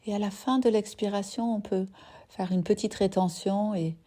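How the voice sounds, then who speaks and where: A woman teaching yoga nidra, guiding a relaxation gently and unhurriedly in short phrases with soft, breathy endings. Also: medium pitch, steady tone, normal speed. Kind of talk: guided relaxation meditation.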